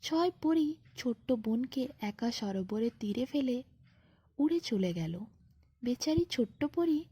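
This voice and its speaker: A woman narrating a scripted bedtime fairy tale as a storyteller, in an expressive, unhurried manner. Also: on the high side, breathy edge, dry recording.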